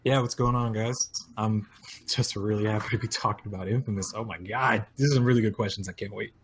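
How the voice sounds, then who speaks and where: A man chatting as a podcast guest in a relaxed way, laughing easily. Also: fairly low pitch, fast talker, a little hiss or hum, low-quality recording. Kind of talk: casual podcast chat.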